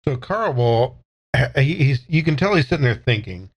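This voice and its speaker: A man with a mid-pitched voice plays in a tabletop horror game. He speaks casually and conversationally, moving between describing his character and speaking as him. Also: big pitch swings, normal speed, husky, highs cut off. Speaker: player in an actual play podcast.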